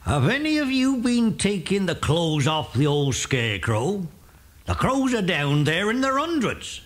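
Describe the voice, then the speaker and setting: Old farm hand, high lively voice, rustic and plain-spoken, children's audio drama. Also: male voice, a little slow, heavily acted. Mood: concerned.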